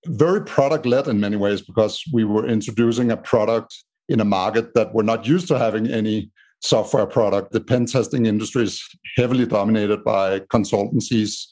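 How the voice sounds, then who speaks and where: A man from Denmark with a Danish accent, a startup co-founder answering interview questions in a measured way with many "uh" fillers. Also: lowish voice, a little mellow. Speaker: podcast guest, startup co-founder.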